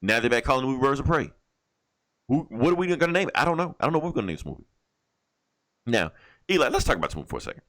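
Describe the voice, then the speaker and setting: A man's voice: mid-range voice, very animated, rapid-fire, pauses often, fairly bright tone. A man hosting a podcast with casual, opinionated movie chat, swearing freely and repeating phrases for emphasis. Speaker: podcast host.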